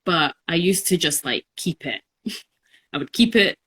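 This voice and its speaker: Low-voiced young woman, reflective talk. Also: lively intonation, measured pace, fairly bright tone, slightly rough. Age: 20s. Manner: thoughtful, reflective, many fillers. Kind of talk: casual conversation.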